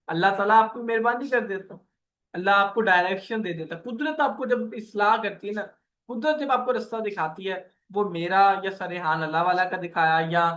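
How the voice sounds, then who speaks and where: A man's voice: high-pitched, a bit bright, a little hiss or hum. A man leading a motivational workshop on earning online, talking earnestly like a preacher and often checking in with "okay". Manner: voices the listeners' questions and prayers.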